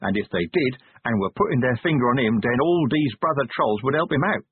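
A man voicing a dim-witted, drug-addled troll loser in an audiobook, husky, reasoning in plodding slang. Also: mid-range voice, lively intonation, a little fast, no echo, voice pushed up. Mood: muddled reasoning. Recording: tinny, narrow-band radio-like audio.

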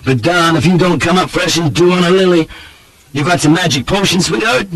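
Audiobook role: gallant roue, played by a man, gushing flattery in a bright, forceful drawl. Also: sits low, moderate pace, vocal fry, slightly rough, exaggerated voice, low-quality recording. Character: gallant Southern rake, an oily flattering companion.

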